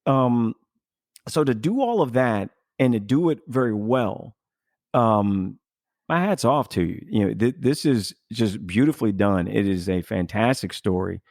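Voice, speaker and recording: A man's voice: on the low side, expressive, unhurried, darkish tone, no room sound, clean studio sound. A man hosting a casual conversational podcast interview in an effusive, emphatic, rambling way. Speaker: podcast host.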